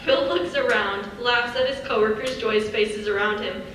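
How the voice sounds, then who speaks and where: Bright young woman narrator, very echoey hall. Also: a little high, moderate pace. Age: young adult. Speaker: narrator reading stage directions. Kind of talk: scripted narration at a live table reading. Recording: distant echoey hall, faint noise.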